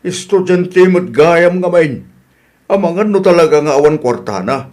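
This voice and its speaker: A man's voice: on the high side, animated, moderate pace, darkish tone, close to an everyday voice. A man with an Ilocano accent playing a reasonable husband who questions and reproves, in a comedy radio drama. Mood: remonstrating. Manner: remonstrating, questioning.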